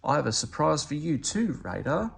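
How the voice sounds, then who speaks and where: A man voicing an earnest, childlike, caring bird in an expressive children's storybook reading. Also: fairly high pitch, normal speed, vocal fry, husky, pitched above the performer's own voice, heavily acted. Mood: happy, grateful. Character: Big Bird, earnest childlike male bird, worried but caring. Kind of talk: children's storybook read-aloud.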